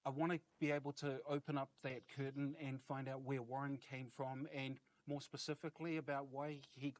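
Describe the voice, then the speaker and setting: A man speaks as a podcast host in a casual, conversational interview style. His voice sits at an average male pitch. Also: little pitch movement, brisk.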